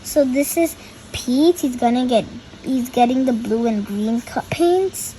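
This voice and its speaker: A young girl reads a picture book aloud in a high voice. She takes her time and stops to explain the pictures, with faint noise behind her. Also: measured pace, mellow, poor audio. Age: child.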